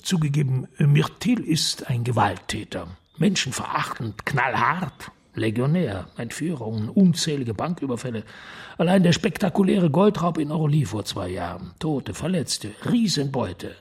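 An actor playing an urgent, persuasive police prefect in a radio crime drama, speaking formally with theatrical emphasis and pauses. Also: male voice, mid-range voice, sing-song, slightly rough, exaggerated voice. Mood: insistent. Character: urgent, smooth-tongued high police prefect, persuasive and secretive.

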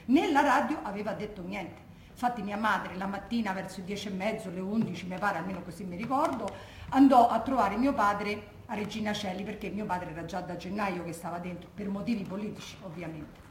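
Actress as insistent Roman daughter, echoey room. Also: female voice, mid-range voice, brisk, lightly acted. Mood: earnest, insistent. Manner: colloquial, insistent, conversational. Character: earnest working-class Roman daughter recalling her lost father. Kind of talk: theatrical testimony monologue. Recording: roomy echo, faint background noise.

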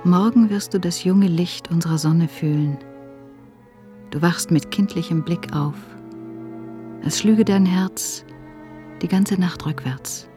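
A tender, longing woman in a radio drama. Her fairly low voice moves slowly and lyrically, at times hushed, over ambient new-age music. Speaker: actress in a radio play. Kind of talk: slow poetic monologue. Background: soft new-age music.

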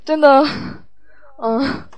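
Casting: woman, raised pitch, halting and unsure, chatting informally in a dry acoustic. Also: dry recording, lo-fi sound. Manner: hesitant, halting. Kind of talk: casual group chat, recounting production work.

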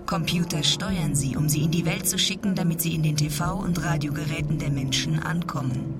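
A woman calmly narrating a scripted documentary passage. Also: low-pitched, fairly quick. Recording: distant voice under loud music. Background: loud music.

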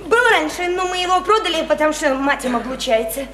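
A woman's voice: high-pitched, fast talker, a bit bright. An actress playing a naive, odd, chatty young provincial woman in a radio play, rambling earnestly in simple folksy speech with hesitant fillers. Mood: earnest, gossipy. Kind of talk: theatrical radio play dialogue. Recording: echoey, noisy stage radio play recording.

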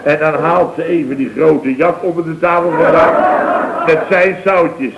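An actor playing a blustering, self-important middle-aged boss telling indignant, rambling stories in 1970s radio comedy style. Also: male voice, fairly high pitch, fairly even intonation, unhurried, vocal fry, very noisy. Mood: indignant, mocking. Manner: exclamatory, rambling, rhetorical questions. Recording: dull vintage radio recording.

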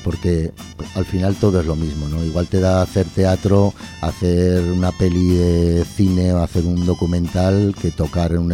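A deep-voiced, mellow man. He answers as a guest in a casual, colloquial way with wry jokes, and faint music plays behind him. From Aragon, Spain. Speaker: interview guest, artist and musician. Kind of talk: casual chat.

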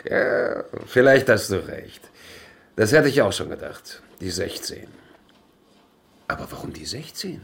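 An actor playing a corrupt, self-pitying official who muses and wheedles in a German radio drama. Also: male voice, on the low side, wildly swooping pitch, creaky, a bit bright, exaggerated voice. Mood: musing, confiding. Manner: musing, slow, wheedling.

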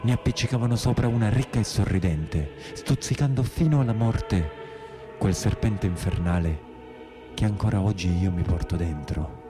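An actor playing an imprisoned brigand in a radio play, plain-spoken and anguished, with a southern Italian accent and colloquial, dramatic delivery. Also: male voice, low-pitched, normal speed, music in the background, natural voice. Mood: anguished, solemn. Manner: colloquial, dialect-laced, dramatic. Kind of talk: theatrical monologue in a radio play.

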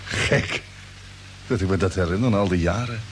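An actor playing a drowsy, bewildered space expedition commander in a radio play, speaking haltingly and repeating words. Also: male voice, sits low, lightly acted. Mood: puzzled, tired. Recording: noisy, humming old radio drama recording.

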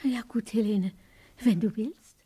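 A submissive, loyal older woman in a radio drama. Her voice is high and soft-toned, and she speaks earnestly, in a soothing, deferential way. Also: unhurried, slightly rough. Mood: conciliatory. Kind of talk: German radio play acting.

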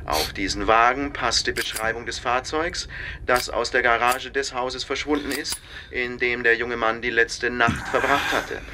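A man's voice: mid-range voice, steady tone, a little slow, natural voice. An actor as a formal male newsreader, noisy background. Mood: formal, factual. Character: formal, brisk male radio news reader. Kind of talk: scripted news bulletin within a radio drama.

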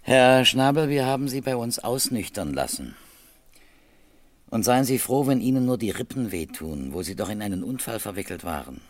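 An actor playing a stern, methodical police detective in a German radio crime drama, speaking in a firm, deliberate, matter-of-fact way. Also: male voice, medium pitch, big pitch swings, no room sound.

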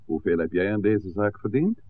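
An actor playing a stern, shrewd village priest who scolds in a measured, formal way in a 1960s radio play. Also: male voice, on the low side, animated, lightly acted. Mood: stern, reproachful. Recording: tinny, noisy old radio recording.

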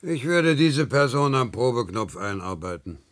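A man playing a dutiful worker citizen in a 1970s science-fiction radio play, formal and measured. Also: mid-range voice, big pitch swings, natural voice. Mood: obedient, formal. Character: dutiful, regimented male worker citizen of a dystopia. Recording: noisy old radio drama recording.

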